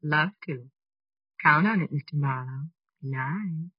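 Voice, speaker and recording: An actress playing a coy, flirtatious woman with a soft, husky voice in 1940s radio drama style. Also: low voice, measured pace, no echo. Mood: coy, inviting. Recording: dull, distant old radio recording.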